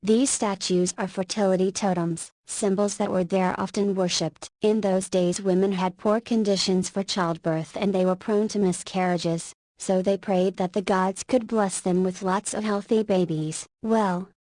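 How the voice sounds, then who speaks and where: A woman playing a friendly, curious single mother of forty in a visual novel, conversational and gently teasing. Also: medium pitch, fairly even intonation, unhurried, hardly any pauses, no room sound, lightly acted, rough recording. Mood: impressed, interested. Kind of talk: visual novel voice acting, scripted dialogue.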